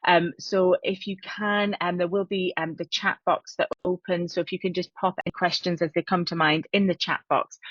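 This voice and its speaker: Low-voiced woman hosting a webinar. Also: fairly even intonation, normal speed, hardly any pauses, no echo, dull audio. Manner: many fillers, repeated words, friendly. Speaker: webinar co-host, hospitality marketing consultant. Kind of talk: webinar presentation.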